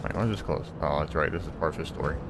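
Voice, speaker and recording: A man's voice: rumbling low, rapid-fire, background noise. A man giving casual, off-the-cuff commentary as he plays a game, muttering and thinking aloud. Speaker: gamer playing and reacting to a story game.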